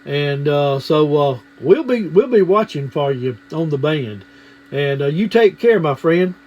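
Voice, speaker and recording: A man's voice: on the high side, animated, measured pace, a little mellow. Man on a muffled radio signal. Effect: radio transmission. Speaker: amateur radio operator. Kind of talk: casual ham radio conversation. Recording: muffled band-limited ham radio signal.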